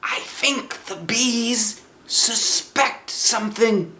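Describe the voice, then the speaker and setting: Silly old storybook toy bear, way high pitched, solemn n anxious, drags words out, roomy echo. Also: male voice, sharp tone, heavily acted. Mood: conspiratorial, earnest. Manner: ponderous, solemn, drawn-out phrasing. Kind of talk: children's storybook read-aloud.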